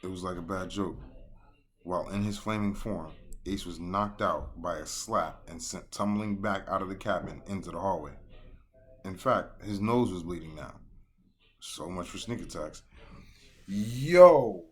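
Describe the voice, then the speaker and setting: A man hosting a casual read-along, reading a story aloud and breaking off for animated, slangy reactions and commentary. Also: low-pitched, unhurried. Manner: slangy, animated asides between narrated passages.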